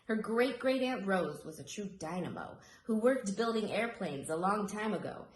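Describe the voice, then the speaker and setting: A woman reading a rhyming children's story aloud in an expressive, dramatic storytelling manner. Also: mid-range voice, normal speed. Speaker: storybook reader. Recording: roomy echo, faint background noise.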